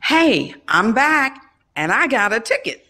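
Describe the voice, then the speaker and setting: A woman acting an excitable, playfully scheming aunt in an audio drama. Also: fairly high pitch, takes it slowly, heavily acted. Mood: upbeat.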